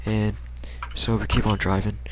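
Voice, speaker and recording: A man's voice: lowish voice, level tone, normal speed, slight background noise, rough recording. A young American man casually telling a personal story in a rambling, slangy, hesitant way. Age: young adult.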